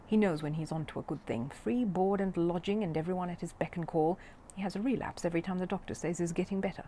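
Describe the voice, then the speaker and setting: A woman voicing a grim, strict housekeeper in a storybook, speaking in a flat, decided, scolding way. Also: lowish voice, fast talker, slight background noise, lightly acted.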